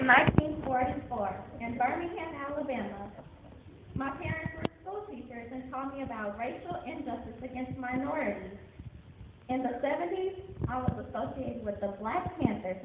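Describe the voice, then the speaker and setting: A woman playing a proud activist and professor in a school play, reciting slowly and with emphasis. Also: high-pitched, animated. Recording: echoey hall, phone-quality audio, noisy.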